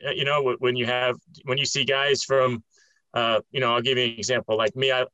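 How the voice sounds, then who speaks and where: A man's voice: mid-range voice, level tone, fairly quick, bright, forceful tone, no room sound, dull audio. A man hosting an interview, who also does some commentating, talking in long, rambling questions full of fillers. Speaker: podcast host and occasional commentator.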